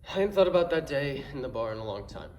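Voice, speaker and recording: A man with an American accent playing a troubled, angry homeless young man in a halting, colloquial stage monologue. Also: medium pitch, very animated, a little mellow, lightly acted. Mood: subdued, weary.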